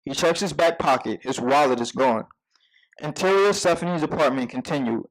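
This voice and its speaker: A man's voice: fairly high pitch, normal speed, poor audio. A man reading out scene headings and action as narrator at a scripted table read.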